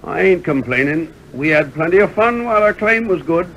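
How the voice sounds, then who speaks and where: An actor playing a folksy, rueful old prospector with a Western drawl in an old radio western. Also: male voice, fairly high pitch, expressive, brisk, a bit bright, low-quality recording. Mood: resigned. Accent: American Western drawl. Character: glum, folksy broke old prospector Sleepy. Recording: old hissy radio recording.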